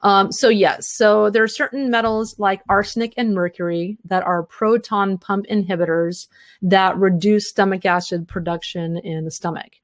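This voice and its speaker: A woman's voice: mid-range voice, steady tone, no echo. A woman hosting a live health webinar, answering listener questions at length with frequent fillers; she is tired and losing her voice. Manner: talks fast in long run-on answers with many fillers.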